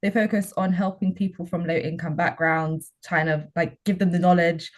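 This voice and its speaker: A woman's voice: fairly low pitch, little pitch movement, normal speed. A young woman, a final-year student, talking openly and upbeat on a panel. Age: young adult. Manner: open, upbeat, reflective. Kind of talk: panel discussion.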